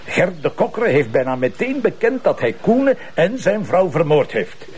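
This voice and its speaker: A man's voice: a little high, sing-song, moderate pace, darkish tone, slight background noise. A Flemish man playing a bossy, sardonic police commissioner who lectures and teases his team in a TV crime drama. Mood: firm, explanatory. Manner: commanding, teasing, lecturing. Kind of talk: naturalistic TV crime drama acting.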